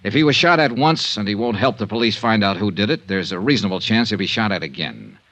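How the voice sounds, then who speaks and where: An actor playing a cool, tough, persistent insurance investigator, dryly delivered in 1950s radio detective style. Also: male voice, fairly low pitch, lively intonation, fast talker, fairly bright tone. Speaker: lead character and narrator. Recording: muffled 1950s radio recording.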